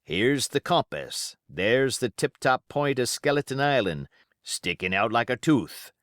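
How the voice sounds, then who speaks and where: A man's voice: medium pitch, very animated, a little slow, fairly bright tone, dry recording, studio quality, pitched above the performer's own voice. A man voicing a cunning, commanding pirate cook with folksy seafaring dialect and emphatic oaths, in an audiobook. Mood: musing, uneasy. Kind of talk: audiobook character voice.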